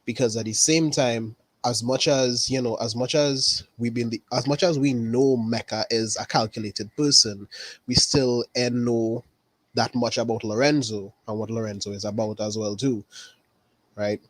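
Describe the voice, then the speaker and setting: A man from Trinidad with a Trinidadian accent, chatting casually about a TV episode, unhurried and repeating his phrases. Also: medium pitch, fairly even intonation, no echo, poor audio. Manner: unhurried, repeats phrases, says "really and truly". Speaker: caller.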